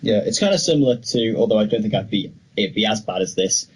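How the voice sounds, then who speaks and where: A man's voice: fairly low pitch, animated, fast talker, slightly rough, poor audio. A young man co-hosting a film podcast, talking casually and giving his opinions freely. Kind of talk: casual discussion of film news.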